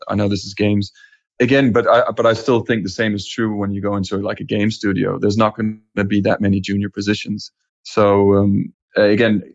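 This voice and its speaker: Gravelly Danish-born man, lo-fi call. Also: lowish voice, no room sound. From Denmark. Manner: rambling, self-correcting, many fillers. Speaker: guest, animation creative lead. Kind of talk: casual interview chat. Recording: dull lo-fi audio.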